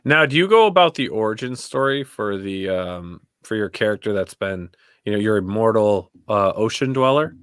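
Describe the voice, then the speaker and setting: Male talk host, informal chat, slightly deep voice, no audible room. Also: expressive, normal speed, fairly bright tone, clean tone, no echo, studio quality. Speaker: show host. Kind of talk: casual interview chat.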